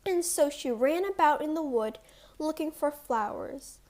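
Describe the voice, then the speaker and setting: A woman narrating a scripted fairy tale for young children as a storyteller, reading deliberately. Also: sits high, lively intonation, darkish tone.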